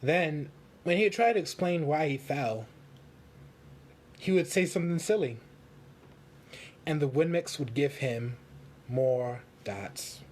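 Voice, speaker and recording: A man reading a storybook aloud for children in simple, deliberate phrases. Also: fairly high pitch, darkish tone.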